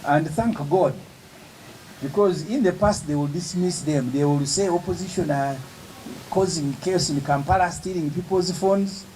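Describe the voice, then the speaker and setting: A man, an opposition politician giving an emphatic, measured press statement with pauses between phrases. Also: on the high side, dark tone, slight background noise, poor audio.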